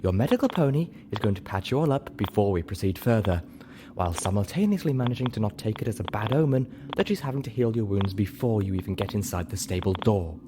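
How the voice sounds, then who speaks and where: A man's voice: on the low side, animated, fast, background noise, close to an everyday voice. A man voicing a polite, wryly chiding female unicorn medic in an audiobook reading.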